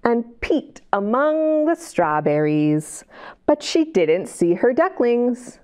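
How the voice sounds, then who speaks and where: A middle-aged American woman reads aloud to young children as a storytime host. Her voice is high and swoops widely, with a slow, deliberate pace. She is expressive and sing-song, often putting questions to her listeners. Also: clean tone. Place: indoor library children's area. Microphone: lapel mic.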